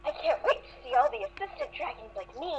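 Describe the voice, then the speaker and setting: A woman voicing an excited young male cartoon dragon assistant, eager and boyish. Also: sits high, brisk, muffled recording, a little hiss or hum, pitched above the performer's own voice.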